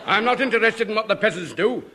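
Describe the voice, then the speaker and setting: A man's voice: high-pitched, expressive, fast, pushed and bright, heavily acted. An actor playing a blunt, irascible wealthy father in a 1950s radio sitcom, speaking curtly. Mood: dismissive. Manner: brusque, curt. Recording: noisy vintage radio studio recording.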